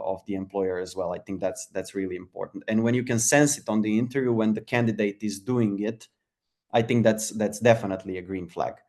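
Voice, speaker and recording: Male webinar speaker, low and monotone, hesitant with many restarts. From Croatia. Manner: halting, many fillers and restarts. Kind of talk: casual webinar talk.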